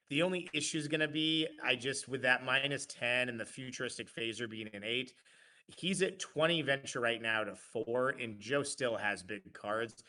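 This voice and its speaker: A man's voice: medium pitch, pushed and bright. A man giving live play-by-play commentary on a card game tournament, analytical and often restarting his phrases.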